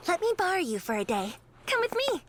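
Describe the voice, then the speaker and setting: A woman's voice: extremely high pitch, huge pitch swings, brisk, heavy background noise, heavily acted. A woman voicing a perky, playful young girl in an anime game. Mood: sweet, teasing. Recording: loud music over the voice.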